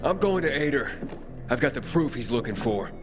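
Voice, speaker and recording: A man's voice: medium pitch, sing-song, normal speed, close to an everyday voice. An actor playing a tough young male survivor in a video game, blunt, confrontational and accusing. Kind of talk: dramatic video game acting. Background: game music.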